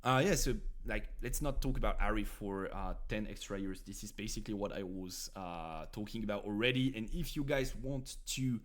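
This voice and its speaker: A man's voice: on the low side, normal speed, hardly any pauses. A young man from France with a French accent, streaming casually and chatting with viewers about card game decks, rambling with many fillers and restarts.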